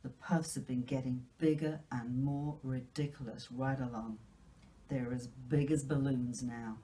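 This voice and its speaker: A stern older storybook woman, practical and disapproving, read by a woman. Her voice sits low and mellow, with a measured pace and a grudging, no-nonsense delivery. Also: steady tone, rough recording.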